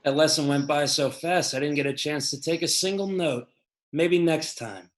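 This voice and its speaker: A man's voice: a little high, moderate pace, lightly acted. A man playing a crude, hotheaded sidekick. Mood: sarcastic. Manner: blunt and sarcastic.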